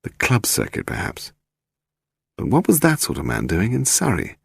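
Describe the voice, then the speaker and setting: Guy reading an audiobook, really low gravelly voice, lots of up and down. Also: huge pitch swings, normal speed, dry recording, studio quality. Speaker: audiobook narrator. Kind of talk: dramatised scripted story narration.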